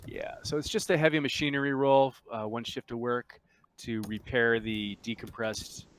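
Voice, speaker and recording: A man narrating a tabletop roleplaying game as game master, casually and with halting pauses. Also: medium pitch, fairly even intonation, slight background noise.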